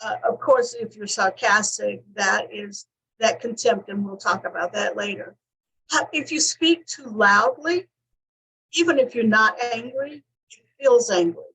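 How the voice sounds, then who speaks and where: Husky higher-voiced woman counselor laying stuff out step by step. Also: fairly bright tone, rough recording. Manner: explains in measured lists. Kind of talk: teaching talk show discussion.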